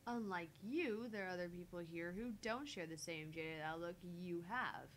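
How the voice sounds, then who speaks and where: A woman's voice: normal speed, natural voice. A woman voicing a shy, easily embarrassed young woman in a visual novel, defensive and exasperated. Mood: earnest, challenging. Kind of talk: voice-acting visual novel dialogue and inner monologue. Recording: faint background rumble and noise.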